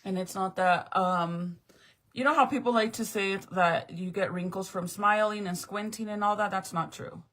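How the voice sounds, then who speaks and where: A woman's voice: mid-range voice, moderate pace, a bit bright. A woman in her late thirties chatting casually and frankly with livestream viewers, rambling from topic to topic. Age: 30s. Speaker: livestream host.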